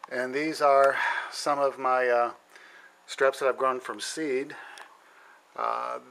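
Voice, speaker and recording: A middle-aged man giving a casual, halting tour of his basement plant shelves, with many ums and frequent throat clearing, recorded on a handheld camera. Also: mid-range voice, fairly even intonation, sharp tone, a little hiss or hum, poor audio. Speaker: home plant grower. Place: indoor basement grow shelves.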